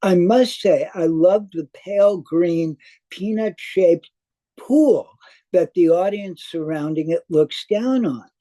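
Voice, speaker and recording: Older male theater critic, slow scripted read, soft mellow tone, slightly raised pitch. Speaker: theater reviewer. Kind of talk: scripted spoken review.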